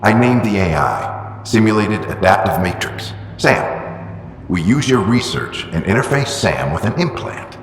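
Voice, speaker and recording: A man's voice: fairly low pitch, big pitch swings, a little slow, faint music behind. Stubborn, driven ex-soldier father. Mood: insistent. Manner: insistent, urgent. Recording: game audio in an echoey space with long reverb.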